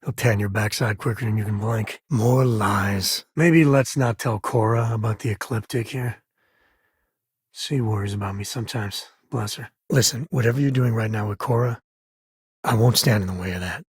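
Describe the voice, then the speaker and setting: An actor playing a rugged, folksy space-frontier ranger and devoted father in a video game, with a husky voice and an easygoing, sincere way of talking. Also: fairly low pitch, normal speed, no echo, studio quality. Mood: easygoing, wry. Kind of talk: acted game companion dialogue.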